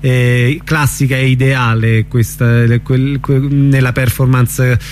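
A man's voice: mid-range voice, little pitch movement, slow, a little mellow. A man conducting an informal, somewhat hesitant conversational radio interview. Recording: noisy radio recording with hum.

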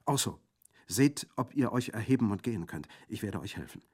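A man's voice: medium pitch, lively intonation, moderate pace, darkish tone, pitched above the performer's own voice, close to an everyday voice. A man reading aloud, voicing a bold, passionate young woman in an urgent, commanding tone.

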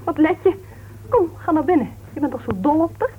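A thin, hissy vintage radio sound frames a sharp-tongued woman with a rather high voice. She argues pointedly and goads whoever she speaks to, in radio-play style. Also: lively intonation, brisk. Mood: accusing, goading. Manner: pointed, argumentative, goading. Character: sharp-tongued, accusing woman crime writer and club member. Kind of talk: Dutch radio play acting. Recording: old radio recording, narrow tinny band, hiss.

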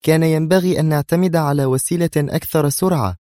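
A man's voice: on the high side, fast, warm tone, no echo, clean studio sound, voice pushed up, lightly acted. A man voicing an anxious, easily vexed young woman agent in an audio drama, speaking tensely and insistently.

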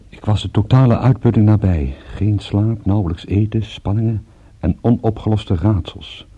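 An actor playing a weary, battered private detective, narrating a radio drama in a measured, grim way. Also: male voice, on the low side, mellow, slightly rough. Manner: measured, grim, literary storytelling. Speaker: narrator and lead of a radio play. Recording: narrowband, muffled old radio recording.

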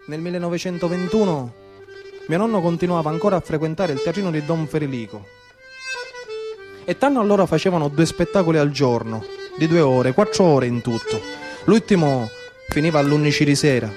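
A man's voice: on the high side, a little fast. A man in his thirties with a Sicilian accent delivers a theatrical narrative monologue in dialect-tinged speech, with a declamatory, repetitive folk-storyteller's cadence. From Sicily, Italy. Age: 30s. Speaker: actor-storyteller. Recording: live music behind the voice. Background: live instrumental music.

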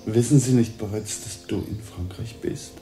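An actor playing a composed gentleman who calmly probes with questions in a radio drama. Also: fairly low pitch, moderate pace, over music, natural voice. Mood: calm probing. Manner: calm, probing questions. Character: probing, composed gentleman host, Tom Ripley.

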